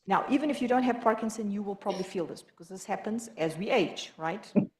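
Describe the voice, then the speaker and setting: A woman health professional, presenting to a support group in a quick, halting way with many restarts. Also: medium pitch, expressive, darkish tone. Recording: echoey room.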